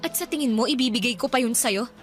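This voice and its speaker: An actress playing a sharp-tongued, hurt young woman. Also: a little high, fast, soft background music, natural voice. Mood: bitter, hurt. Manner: sharp, reproachful retorts. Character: hurt young woman confronting a lying ex-crush. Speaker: voice actor in radio drama. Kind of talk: Tagalog radio drama acting.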